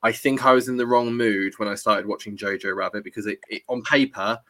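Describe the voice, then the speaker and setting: A man's voice: on the low side, steady tone, a little fast, fairly bright tone, no echo. A man hosting a casual chat about films, chatty and rambling, reading live comments as he goes. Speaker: podcast host.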